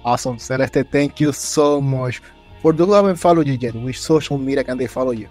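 A man's voice: mid-range voice, brisk, darkish tone, faint music behind. A man in his thirties hosting a casual online interview, effusive, jokey and rambling, close on a desk microphone. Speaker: interview host. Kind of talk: casual hosting, channel plugs. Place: indoor room with streaming setup. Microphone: desk microphone, close, with headset.

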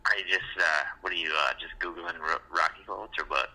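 A man's voice: deep voice, a little fast, pushed and bright, slightly rough, poor audio. A man hosting a casual podcast, joking around, teasing his co-host and laughing often. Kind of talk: casual chat.

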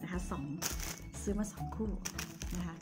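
A woman in her thirties from Thailand chatting to viewers in a casual haul vlog, recorded on a phone in a small bright room. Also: a little slow, over loud music. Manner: chatty and friendly, addresses viewers often. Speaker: vlogger.

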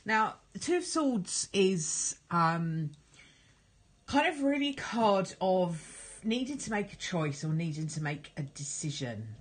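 A woman with a croaky cold, giving a casual, chatty tarot card reading at a table at home and filmed on a phone. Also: fairly low pitch, animated, slow pace. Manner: chatty, repeats words and fillers, gentle guiding tone. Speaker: tarot reader.

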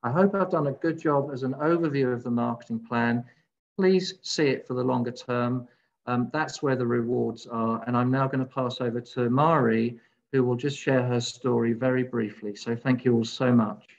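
A man's voice: mid-range voice, moderate pace, vocal fry. A man in his fifties giving a business presentation over a video call. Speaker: presenter of a marketing overview. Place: home kitchen, indoors. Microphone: video call, computer microphone. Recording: dull, muffled video call audio.

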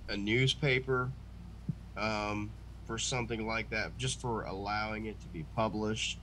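Low, bright-voiced man on a call. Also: steady tone, a little slow, rough recording. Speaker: panel member. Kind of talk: casual chat. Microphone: video call. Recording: faint background noise.

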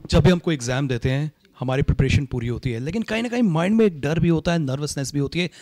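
A man's voice: a little high, animated, fast talker, a little mellow. A middle-aged man with an Indian accent conducting a news interview, introducing his guest and asking questions. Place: indoor living room, seated interview. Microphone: handheld reporter mic.

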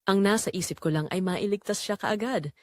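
A woman's voice: medium pitch, fairly quick, no room sound, studio quality, close to an everyday voice. A woman with a Filipino accent narrating a scripted first-person romance as a tender young call center agent. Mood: heartfelt, wistful. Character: tender young female call center agent telling her love story. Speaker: story narrator.

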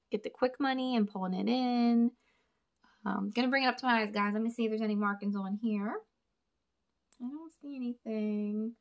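Young woman vlogger, gravelly fry, chatty, thinking aloud to viewers, mid pitch, indoor tabletop camera. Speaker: storage unit reseller and vlogger. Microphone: camera over a table, hands only in frame.

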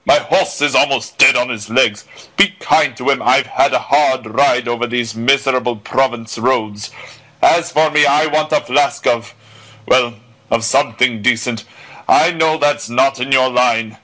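An actor playing a blustering, swaggering bully who barks imperious, insulting orders in a dramatised audiobook. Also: male voice, medium pitch, a little slow, bright, forceful tone, poor audio. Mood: bullying, commanding.

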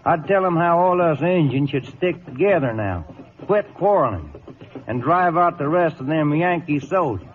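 An actor playing a shrewd, laconic cavalry sergeant in a radio drama. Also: male voice, a little high, expressive, normal speed, close to an everyday voice. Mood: sly. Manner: laconic, folksy, deferential. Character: shrewd, drawling Southern male cavalry sergeant. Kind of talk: 1940s-50s radio drama acting. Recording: tinny, noisy vintage radio recording.

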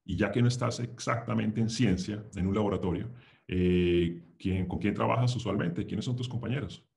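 A man's voice: on the low side, normal speed, vocal fry, a little mellow. A middle-aged man asking questions conversationally as the interviewer on a video call. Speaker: host, interviewer. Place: indoors, living room, video call. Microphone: desk microphone with headphones.

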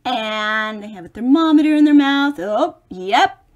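A woman's voice: a little high, lively intonation, a little slow, muffled recording. A middle-aged woman reading a children's picture book aloud, expressively, with chatty explanations for young listeners. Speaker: storybook reader for children.

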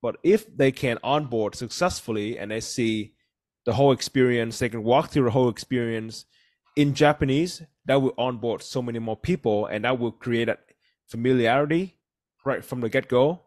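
A man's voice: mid-range voice, normal speed, darkish tone. A man in his thirties, Vietnamese as his first language, hosting a casual podcast over a video call and explaining points earnestly with many "you know"s. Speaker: podcast host.

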